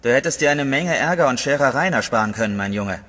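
An actor playing a stern, condescending narcotics captain in a German radio play. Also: male voice, mid-range voice, expressive, fast, pushed and bright, big-room sound. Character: stern older male narcotics captain, mocking.

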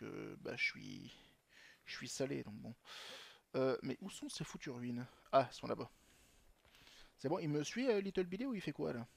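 A man's voice: on the high side, creaky, sharp tone, dry recording. A young man commenting on his gameplay in a chatty way, swearing often and talking to himself. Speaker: gaming channel host.

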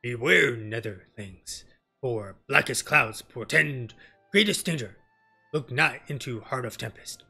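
A man's voice: medium pitch, animated, unhurried, creaky, bright, forceful tone, slightly rough, soft background music, exaggerated voice. A man voicing a grave, ceremonious beastman chief who speaks in stately, poetic riddles in a fantasy game. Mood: ominous warning.

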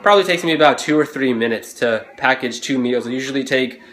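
A man's voice: medium pitch, quick pace. Young man vlogging, phone at arm's length. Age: young adult. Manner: relaxed, chatty, motivational. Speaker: fitness vlogger. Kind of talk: casual vlog talking to camera. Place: condo gym, then home kitchen. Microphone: phone camera at arm's length.

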